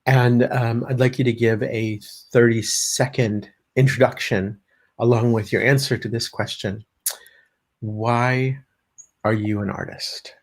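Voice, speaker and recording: A man hosting a live-streamed panel discussion, speaking haltingly with many pauses. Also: mid-range voice, fairly even intonation. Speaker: host, panel moderator.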